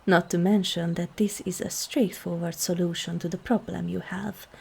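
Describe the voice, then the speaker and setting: A woman playing a seductive, regal demon queen in an ASMR roleplay, calm and commanding, with slow, formal speech that often drops into breathy whispers. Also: on the low side. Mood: persuasive, regal. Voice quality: breathy, husky.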